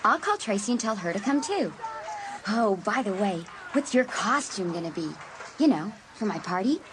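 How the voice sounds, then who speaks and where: An actress playing a resentful American high school senior girl in a dramatised audiobook. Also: very animated, normal speed, noisy background, close to an everyday voice. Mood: curious, chatty.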